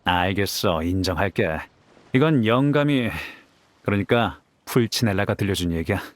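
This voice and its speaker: A man's voice: fairly low pitch, lively intonation, normal speed, no room sound, natural voice. A man voicing a confident, playful young warrior in an anime game, with a casual, easygoing manner. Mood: probing.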